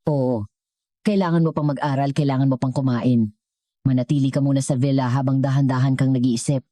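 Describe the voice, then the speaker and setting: A woman storyteller plays a stern old company chairman, a wounded father who speaks gravely and deliberately. The voice is low and mellow, recorded dry, as in an audio storybook. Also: fairly even intonation, dry recording. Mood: kindly.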